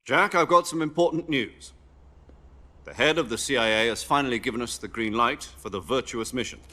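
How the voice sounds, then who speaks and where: An actor playing a seasoned, stern military commander who gives measured, authoritative briefings in a cinematic video game. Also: male voice, medium pitch, bright, forceful tone, natural voice. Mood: firm, serious.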